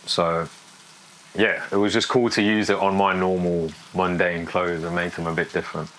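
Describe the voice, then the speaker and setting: A man's voice: low voice, level tone, normal speed, fairly bright tone, slight background noise, low-quality recording. A man, talking casually and candidly in an informal, matter-of-fact way. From England, born in Yorkshire with family from Pakistan. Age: 20s. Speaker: fashion designer, documentary subject. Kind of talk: casual, unscripted talk to camera.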